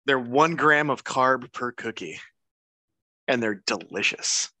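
A middle-aged man joins the show as a guest over a headset at home. His voice is bright and forceful, and he talks in halting, joking bits. The sound is dry and close. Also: mid-range voice, no echo. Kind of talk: casual online show chat. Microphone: headset, video call.

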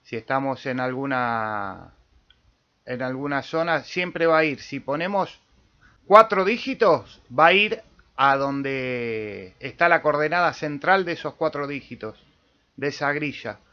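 Slow-paced Argentine man, dull recording. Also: mid-range voice, lively intonation, pushed and bright. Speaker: amateur radio tutorial host. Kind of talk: casual screen-share software tutorial.

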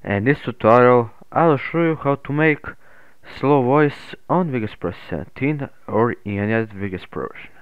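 A man narrating an unscripted software tutorial in a halting, hesitant way. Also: mid-range voice, expressive, clean tone, no echo. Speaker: tutorial host. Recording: muffled phone-like narrow-band audio.

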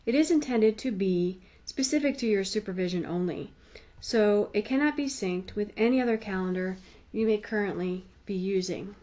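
A woman's voice: medium pitch, normal speed, dark tone. A woman giving a conversational, explanatory tutorial voice-over in a plain-spoken, instructive manner. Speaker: tutorial presenter.

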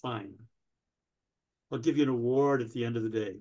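A man in his sixties playing a pedantic, obstructive older DMV clerk in a staged comedy reading, officious, deadpan and stalling. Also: mid-range voice, moderate pace, highs cut off, close to an everyday voice. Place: indoors, on a video call.

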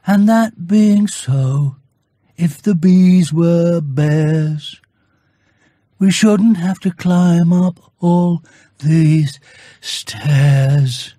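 Storybook bear, male reader: small, earnest, muddled, high voice, slow and pondering. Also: no room sound, clean studio sound. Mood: singing, musing. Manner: slow, pondering, singsong when excited.

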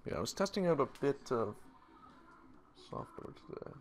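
A man streaming a game, hoarse and losing his voice, chatting casually and answering his viewers. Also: mid-range voice, big pitch swings, moderate pace, slight background noise. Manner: reads chat aloud and answers viewers. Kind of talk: casual live-stream commentary.

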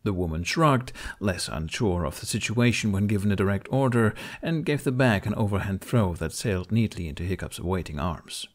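A man reading fiction aloud as an audiobook narrator, with expressive scripted delivery. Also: on the low side, moderate pace, few pauses.

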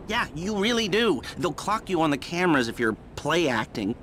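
An actor playing a nervy, sarcastic middle-aged heist planner in a video game, explaining in a halting, excitable way. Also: male voice, medium pitch, a little hiss or hum, lightly acted. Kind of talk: video game cutscene acting.

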